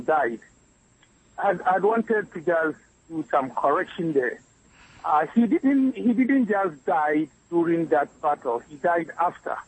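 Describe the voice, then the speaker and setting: A man from Ghana with a Ghanaian accent who calls in to a radio show and speaks earnestly, pausing often with hesitant fillers. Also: on the high side, slightly rough, rough recording. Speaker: caller. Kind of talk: phone-in conversation.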